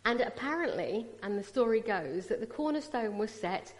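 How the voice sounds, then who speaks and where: British lady in her 40s preaching at church, chatty and teasing, a bit high, long hall echo. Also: animated, moderate pace. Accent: British English. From Punjab, India. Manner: chatty, teasing, draws the audience in. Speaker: guest speaker at a church. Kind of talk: personal testimony sermon. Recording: long reverb of a large hall.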